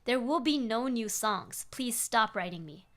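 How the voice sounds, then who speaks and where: A woman's voice: on the high side, lively intonation, a little slow, a bit bright. A weary former rock musician, read by a woman, speaks up in a horror story. He is blunt and exasperated, with little patience left. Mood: fed up.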